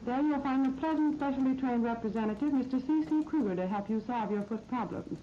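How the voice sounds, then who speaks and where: A woman's voice: on the high side, fairly quick. An American woman hosting a scripted 1930s radio shopping program, giving promotional, instructive product talk. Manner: promotional, instructive sales patter. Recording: dull, noisy 1939 radio disc recording.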